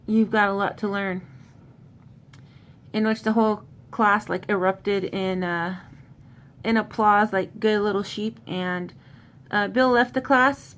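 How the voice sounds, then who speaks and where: A woman giving a casual, conversational book recap with commentary, speaking informally and using slangy turns of phrase. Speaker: host of a horror book recap. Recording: clearly noisy, low background rumble.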